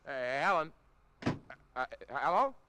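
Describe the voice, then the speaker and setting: An actor playing a brash, self-seeking American boyfriend in a 1950s radio drama, emphatic, slangy and impatient. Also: male voice, a little high, very animated, measured pace, background noise. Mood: eager. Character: brash, self-seeking young businessman boyfriend, excitable and boastful. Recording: vintage radio drama recording.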